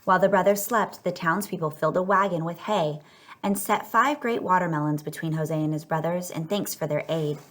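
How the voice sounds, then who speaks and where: A woman's voice: on the low side, brisk. A woman reading a children's picture book aloud, telling it expressively and stretching words for emphasis. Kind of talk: read-aloud children's story narration.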